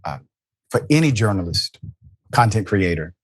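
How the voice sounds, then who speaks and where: Lower-voiced guy hosting a politics show, punchy rhetorical delivery, dry close sound. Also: very animated, normal speed, slightly rough, dry recording. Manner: emphatic, rhetorical questions. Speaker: show host. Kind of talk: political commentary.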